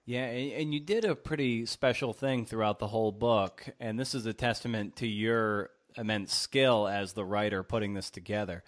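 A man hosting an interview podcast, talking casually and haltingly as he restarts his phrases. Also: fairly low pitch, fairly even intonation.

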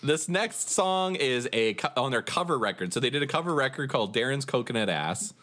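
A man's voice: medium pitch, lively intonation, brisk. A man co-hosting a casual music podcast, joking and introducing each track with band trivia. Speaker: podcast co-host presenting songs. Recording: loud music playing underneath.

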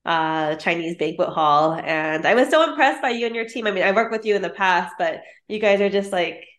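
A woman's voice: on the low side, expressive, a little fast, a bit bright, roomy sound. A woman hosting a podcast, eagerly asking a string of questions in a casual chat. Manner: eager, fires off questions in bursts. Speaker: podcast host.

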